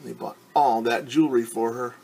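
A man's voice: mid-range voice, fairly even intonation, normal speed, vocal fry, dark tone, slightly rough. A middle-aged man reading a picture book to children as a teacher, stopping to explain things patiently in simple words. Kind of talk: storytime read-aloud with simple explanations for children. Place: living room at home.

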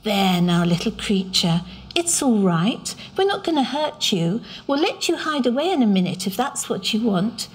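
A calm, kind boy from a storybook speaks softly to soothe a frightened animal, as a woman reads him aloud. His voice is lifted a little and hushed. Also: a little high, moderate pace, husky. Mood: soothing. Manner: soft and soothing.

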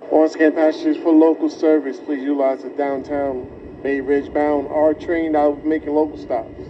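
A man's voice: a little high, little pitch movement, normal speed. A man working as a train conductor, making live service announcements in a formal, instructive way. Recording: distant muffled train PA, loud noise. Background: subway car noise.

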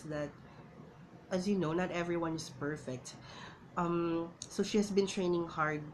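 A woman's voice: fairly low pitch, background noise. Chatty Filipino woman vlogging to camera. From Philippines. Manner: chatty, keeps calling viewers "guys". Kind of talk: casual vlog commentary. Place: small indoor room at home.

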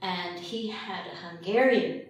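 An older woman from Quebec reflecting in an interview as a filmmaker, pausing often mid-sentence. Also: mid-range voice. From Quebec, Canada. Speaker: documentary filmmaker being interviewed. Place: indoor meeting room at a long table. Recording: very echoey room, distant mic, faint noise.

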